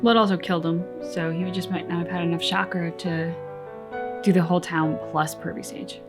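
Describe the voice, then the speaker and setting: A woman co-hosting a casual, reflective reaction chat, phrasing her thoughts in a measured way. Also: lowish voice, fairly even intonation. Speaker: reaction channel co-host. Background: faint game music.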